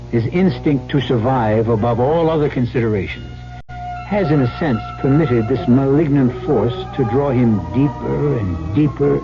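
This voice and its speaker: A male host narrates an old radio mystery in a grave, ominous and deliberate way. His pace is very unhurried. Music plays behind him on a muffled recording. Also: medium pitch.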